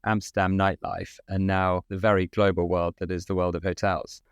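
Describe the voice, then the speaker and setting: A man's voice: sits low, level tone, normal speed, smooth, no echo, clean studio sound. A man co-hosting an interview podcast, introducing his guest and asking questions in a polished way. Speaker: podcast co-host.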